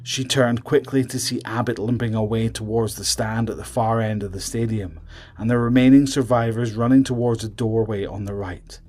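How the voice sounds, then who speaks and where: A man narrating a scripted horror story in a measured, suspenseful way, trailing off at the ends of phrases. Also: lowish voice, fairly even intonation, a little mellow.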